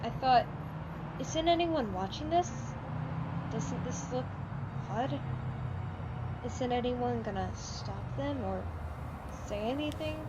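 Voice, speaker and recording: A woman's voice: fairly high pitch, lively intonation, slow pace, long pauses, very noisy. A teenage female student actor playing a bitter, wistful bullied girl in a one-act play. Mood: haunted, recounting. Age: teens. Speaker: youth theatre student actor. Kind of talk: staged one-act play dialogue and monologue.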